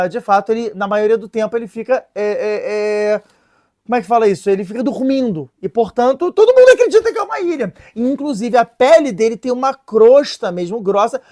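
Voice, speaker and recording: A woman presenting a casual lesson in an animated, joking way, stopping to read and translate sources. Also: fairly high pitch. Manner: animated, playful, joking asides; reads and translates sources aloud. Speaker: religious video presenter.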